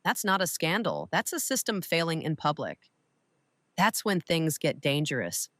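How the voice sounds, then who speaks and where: A woman playing a shrewd, composed older widow and mentor in an audio drama, speaking with deliberate authority. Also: sits low, very animated, fairly bright tone, no room sound. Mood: probing, grave.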